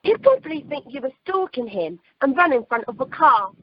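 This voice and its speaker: Woman playing a high, lively, sensible type. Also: a little fast. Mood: wry concern. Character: sensible, wry woman fussing over an injured man. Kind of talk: amateur radio play acting. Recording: noisy, dull telephone conference line.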